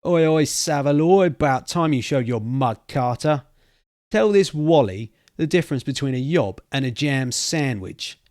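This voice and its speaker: A man voicing a blunt, argumentative cockney pub regular who talks loudly and in slang. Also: mid-range voice, expressive, a little slow, dry recording, studio quality, pitched above the performer's own voice, natural voice. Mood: excited.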